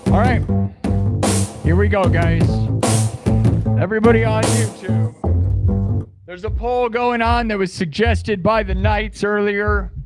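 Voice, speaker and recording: A man hosting a music livestream, chatting casually and slangily with his viewers and giving hyped shout-outs. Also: lively intonation, slow, long pauses. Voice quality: bass singing voice.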